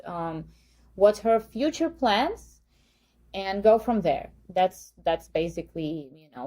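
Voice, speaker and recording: A young woman with a Ukrainian accent hosts a live dating webcast, offering friendly, chatty advice. Her voice is mellow and warm, and she pauses often on fillers as she explains. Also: mid-range voice. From western Ukraine. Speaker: dating agency host.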